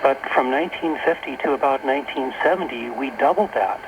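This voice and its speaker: A man's voice: medium pitch, a little fast. American man explaining over noisy shortwave radio. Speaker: talk show guest, author and workshop leader. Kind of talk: unscripted radio interview talk.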